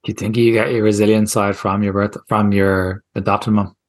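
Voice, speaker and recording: A man's voice: fairly low pitch, level tone, normal speed. A man hosting a podcast, asking casual interview questions, hesitant, with many fillers and restarts. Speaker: podcast host.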